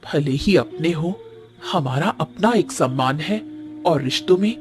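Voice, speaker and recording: A woman's voice: low-pitched, expressive, unhurried. Farmer's wife for cartoon tale, female actor: sensible, courteous, polite delivery, faint music underneath. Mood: considerate. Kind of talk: Hindi cartoon moral-story dialogue.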